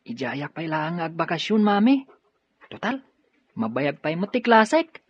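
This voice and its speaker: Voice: mid-range voice, very animated, unhurried, husky, no echo. Eager young student son. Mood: pleading. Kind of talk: Ilocano radio drama acting. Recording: dull audio with music underneath.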